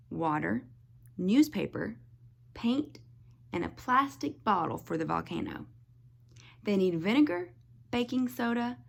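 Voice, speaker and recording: Slow, animated woman reading to young children. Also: mid-range voice, creaky. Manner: expressive, explains words and pictures to young children. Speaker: teacher reading aloud to her class. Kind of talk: children's picture book read-aloud.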